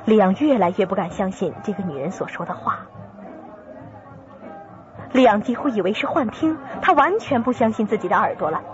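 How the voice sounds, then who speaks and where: Woman narrator, higher voice, dramatic radio storytelling, muffled sound, music behind. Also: expressive, brisk, pauses often.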